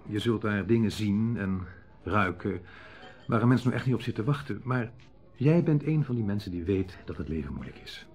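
An actor playing a genial, candid friend in a Dutch radio drama, talking conversationally and pausing for emphasis. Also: male voice, fairly low pitch, lively intonation, a little mellow, husky, soft background music. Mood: frank, teasing. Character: Henry, genial, candid engaged man, jokey friend.

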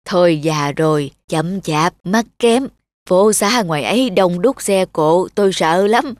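A woman playing a gentle, timid elderly grandmother who sells snacks, in a cartoon. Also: lowish voice, animated, a little slow, no echo, studio quality. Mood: weary, kindly. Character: kind, slow, timid elderly grandmother street vendor.

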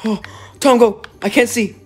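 A young adult woman chatting through an improvised Minecraft roleplay, excitable and prone to repeating herself. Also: a little high, moderate pace. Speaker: gaming video player.

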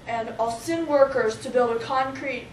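A woman's voice: sits high, normal speed, natural voice. A young teenage girl playing a calculating male Soviet leader in an amateur school drama. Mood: decisive. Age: young teen. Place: small library office. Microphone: far camera. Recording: echoey room, distant camera mic, noise.

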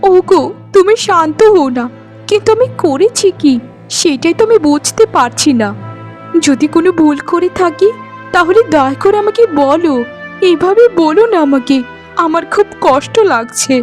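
A woman playing a gentle, dutiful young wife and daughter-in-law, earnest and pleading, in a cartoon melodrama. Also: very high-pitched, expressive, moderate pace, faint music behind. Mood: hurt, pleading.

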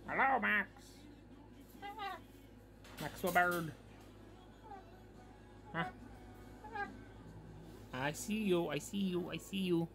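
A woman's voice: fairly low pitch, extremely slow, gravelly, pauses often, over music. A woman talking casually and playfully to a pet, teasing it with questions and repeated phrases. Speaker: pet owner filming a parrot. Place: indoors in a wood-paneled room at home. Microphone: handheld camera or phone behind the lens. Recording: noisy home recording with animal sounds.

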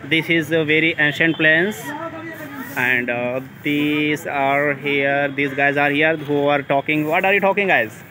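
A man's voice: fairly high pitch, fairly even intonation, measured pace. Indian English man, teacher outdoors. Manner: prompting with short questions and backchannels. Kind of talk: outdoor teaching walk with students. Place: outdoors at a temple ground. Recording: loud outdoor background noise. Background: street and vehicle noise.

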